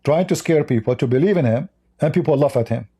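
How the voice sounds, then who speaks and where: A man's voice: fairly high pitch, fast talker, dark tone, slightly rough. A man who is a non-native English speaker, debating religion in an argumentative, repetitive way and cutting in on the other speaker. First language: not English.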